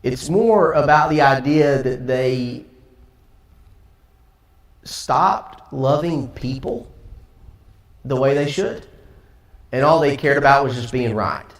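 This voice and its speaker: A man's voice: mid-range voice, slow, pauses often. A man with a Southern American accent teaching a Sunday Bible class in a conversational way, acting out quotes as he goes. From Tennessee. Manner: conversational, acts out quotes, light laughs. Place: church auditorium. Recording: echoey room, from far off.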